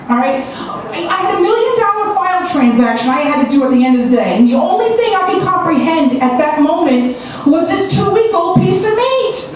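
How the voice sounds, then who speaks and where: A woman performing a stand-up comedy set with a brash, punchy delivery and crude punchlines. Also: very high-pitched. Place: small comedy club stage. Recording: distant echoey club recording with background noise.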